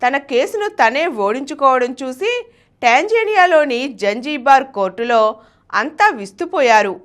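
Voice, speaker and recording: Telugu lady reading out a story script, pretty high voice, super expressive and up and down. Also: moderate pace. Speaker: channel presenter reading a biography. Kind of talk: scripted narration, dramatic storytelling.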